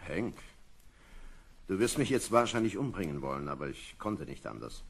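A man playing an irritable, distrustful space lieutenant in a German radio play, gruff and exasperated. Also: sits low, big pitch swings, moderate pace, heavily acted. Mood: apologetic, firm. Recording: studio radio play with long reverb.

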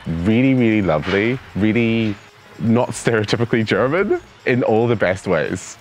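A man's voice: lowish voice, very animated, normal speed. A young man with a Northern Irish accent, an exchange student answering interview questions enthusiastically in an outdoor courtyard on a lapel mic. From Northern Ireland. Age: young adult. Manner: enthusiastic, repeats words.

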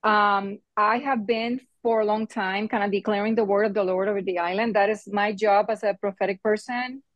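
A middle-aged woman, talking through a phone video call. She speaks haltingly, with many ums, and keeps checking in with "right?". Her voice is mid-pitched for a woman, and the sound is dry and close with no room echo. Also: steady tone, muffled recording. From Puerto Rico. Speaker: nonprofit member calling in. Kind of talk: casual call testimony.

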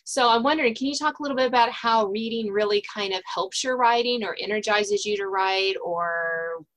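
A woman's voice: medium pitch, brisk, fairly bright tone. A woman hosting an interview show in a casual, conversational style. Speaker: podcast host and author.

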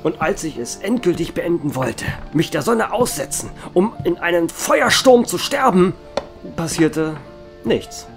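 A man playing a centuries-old vampire who runs a bar, telling his story in a calm, measured way. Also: fairly high pitch, gravelly. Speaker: narrator and bar owner. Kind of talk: fan audio drama narration. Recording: music bed under the voice.

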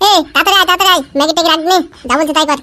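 A woman's voice: very high voice, very fast, heavy background noise. A woman voicing a feckless, eager young village man with a whiny, insistent manner in a comic cartoon.

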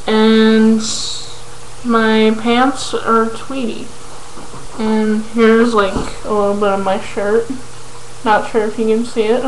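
Slow, hesitant young woman in an echoey room. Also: fairly high pitch, fairly bright tone, a little hiss or hum. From California. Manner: hesitant, many ums, halting when reading aloud. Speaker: vlogger. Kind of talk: casual chat answering a questionnaire. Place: small bedroom indoors. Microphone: webcam at close range.

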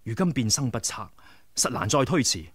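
An actor playing a young rebel leader who speaks humbly and formally, in a radio drama. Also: male voice, mid-range voice, animated, brisk, breathy edge, no echo, studio quality. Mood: humble. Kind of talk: 1990s radio drama acting.

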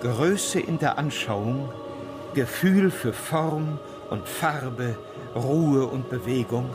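An actor playing an admiring court companion, telling of a sea journey deliberately, like a storyteller, in a radio drama. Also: male voice, medium pitch, over music, lightly acted. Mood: admiring, solemn. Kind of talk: radio drama memoir reading.

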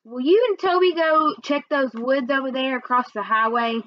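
A sad, folksy Southern woman from a children's book, as a woman reader puts her on. She sounds worried and wistful, with a highish voice. The sound is dry and a bit dull. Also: normal speed, no echo, close to an everyday voice. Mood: hopeful, wistful.

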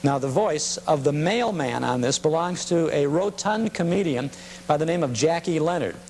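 An American man informally presenting vintage clips in a halting, reminiscing way. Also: on the high side. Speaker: presenter of vintage broadcasting programme. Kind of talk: informal spoken introduction to film clips. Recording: steady hum and noise.

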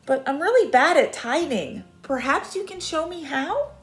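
A woman voicing an enormous male dragon in a read-aloud storybook, whining and begging in a high, pleading voice. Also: animated, normal speed, faint music behind. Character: huge lazy male dragon, whiny and vain. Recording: roomy echo.

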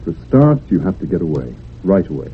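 Deep-voiced, probing doctor. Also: male voice, big pitch swings. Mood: firm, advising. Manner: measured, probing, authoritative. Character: calm, probing male doctor advising a patient. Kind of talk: radio drama acting. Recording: tinny, noisy old radio recording.

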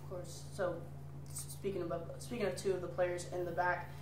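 A woman interviewer asking a coach steady, plain questions at a press conference. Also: on the low side, fairly quick, background noise. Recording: roomy, off-mic with faint ambience.

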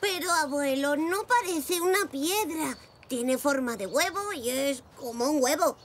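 A performer voicing a curious, imaginative five-year-old zebra boy in a children's cartoon dub, excitable and earnest. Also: high voice, unhurried, a little hiss or hum. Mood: earnest, eager. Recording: music behind the voice.

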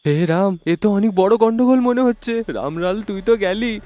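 A man's voice: high voice, animated, moderate pace, mellow. A man voicing a timid, plain-spoken auto-rickshaw driver in a cartoon, anxious and exclaiming. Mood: frightened. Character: timid, decent male auto-rickshaw driver, easily frightened. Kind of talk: cartoon character voice.

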